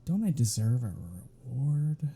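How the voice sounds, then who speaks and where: Sly flirtatious male vampire played by a man for ASMR roleplay: very dark, breathy voice, sardonic teasing drawl. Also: medium pitch, animated, a little slow. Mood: coaxing, teasing. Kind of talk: ASMR roleplay audio drama.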